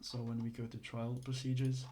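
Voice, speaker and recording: Hesitant man, flat voice. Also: mid-range voice, moderate pace, slight background noise. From Belgium. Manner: hesitant, many fillers and restarts. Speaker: instructor. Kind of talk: casual explanatory lesson.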